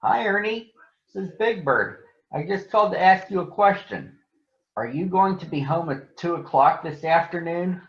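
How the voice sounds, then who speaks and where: A man's voice: high-pitched, animated, moderate pace, roomy sound, muffled recording, pitched above the performer's own voice. Man putting on a chatty, friendly big storybook bird. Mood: friendly, inquiring.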